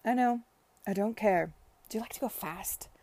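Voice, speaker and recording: A woman's voice: medium pitch, normal speed, lightly acted. A woman voicing an adoring young lord who coaxes and pleads. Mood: eager. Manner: pleading, warm-hearted.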